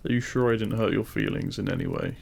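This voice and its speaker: An actor playing a demon king, a deliberate and intimate lover, speaking straight to the listener in a romantic audio roleplay. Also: on the low side, vocal fry, darkish tone. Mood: loving, gentle. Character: feared demon king, menacing in public, tender lover in private.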